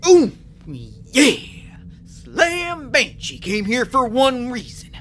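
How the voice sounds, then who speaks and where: Piercing, boastful banshee wrestler. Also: female voice, on the high side, wildly swooping pitch, drawn-out words, slight background noise, exaggerated voice. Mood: boastful, fired up. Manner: loud, boastful, declaiming. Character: boastful ghostly banshee luchador wrestler monster. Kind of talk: wrestling promo in an audio drama.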